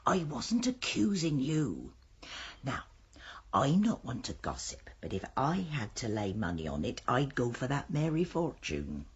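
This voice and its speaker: An actress playing a chatty, forthright village cleaner in a radio drama, confiding and emphatic, husky, with an English accent. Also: female voice, rumbling low, very animated, a little slow, lo-fi sound, exaggerated voice. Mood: gossipy.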